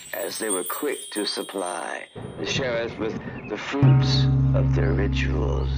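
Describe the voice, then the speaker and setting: Male narrator, very slow pace, grandiose fantasy storytelling, deliberate pauses, music underneath. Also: fairly low pitch, rough recording. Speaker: radio drama narrator. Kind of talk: dramatic scripted fantasy storytelling, old radio theatre.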